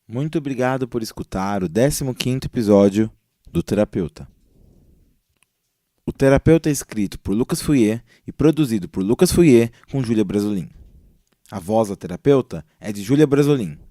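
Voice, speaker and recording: Guy reading the outro credits, mellow voice, dry mic. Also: lowish voice, expressive, normal speed, no echo. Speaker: podcast host reading credits. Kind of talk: scripted outro announcement.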